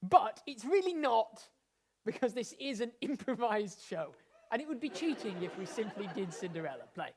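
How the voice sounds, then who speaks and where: A woman hosting and narrating an improvised pantomime with playful, excited enthusiasm. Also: fairly high pitch, moderate pace, noisy background. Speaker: host directing an improvised pantomime.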